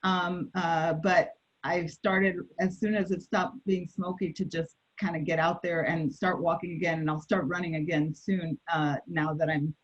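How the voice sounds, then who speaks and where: A woman's voice: lowish voice, little pitch movement, normal speed. A woman panel guest talking reflectively about her daily routines, hesitant with many fillers and restarts. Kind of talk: casual personal sharing on a panel. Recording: dull lo-fi sound.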